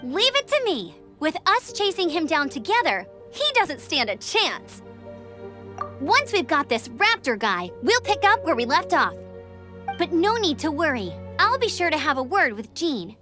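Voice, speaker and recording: A woman voicing an eager, confident young female scout in a game, talking briskly. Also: high voice, big pitch swings, sharp tone, heavily acted. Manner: brisk, self-assured. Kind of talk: game voice acting. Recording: game audio with music behind.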